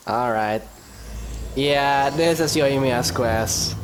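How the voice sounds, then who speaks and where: Very low-voiced, slow-talking gamer. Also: female voice, slight background noise, low-quality recording. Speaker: game streamer playing through a story quest.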